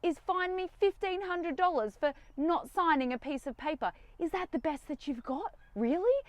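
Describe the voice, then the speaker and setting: A woman's voice: very high voice, moderate pace, no echo. Defiant young woman, dry close sound. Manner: defiant, animated, sarcastic. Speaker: vlogger. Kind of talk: casual direct-to-camera talk. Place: inside a van.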